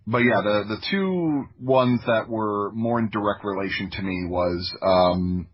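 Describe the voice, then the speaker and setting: A man's voice: lowish voice, unhurried, muffled recording. A man from Florida telling personal stories as an interview guest, speaking reflectively and restarting his phrases. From Florida, United States. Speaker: podcast guest, audio drama creator.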